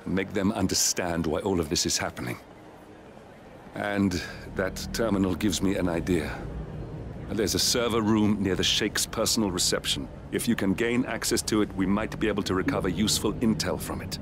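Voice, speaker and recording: Low-voiced, intense mission handler. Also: male voice, steady tone, moderate pace, background noise. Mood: determined. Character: intense, embittered male covert mission handler. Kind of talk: mission briefing over comms. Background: game music.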